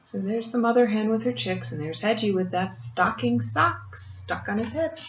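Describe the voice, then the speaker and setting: An older woman reads a picture book aloud like a grandmother, pausing for gentle explanations and questions to the child. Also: a little high, expressive. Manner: gentle teacherly asides, asking the child questions. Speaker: grandmother storyteller reading to children. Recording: muffled, roomy home recording with faint noise.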